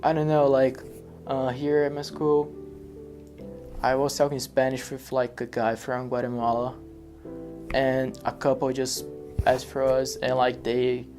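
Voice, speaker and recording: A man from Brazil, an exchange student talking haltingly in a documentary interview. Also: medium pitch, flat delivery, over music. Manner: hesitant, many fillers and restarts.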